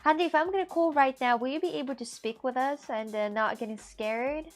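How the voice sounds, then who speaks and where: A woman's voice: high voice, a little fast, soft background music. A woman from Russia in her twenties, chatting casually and animatedly on a live stream, often repeating herself.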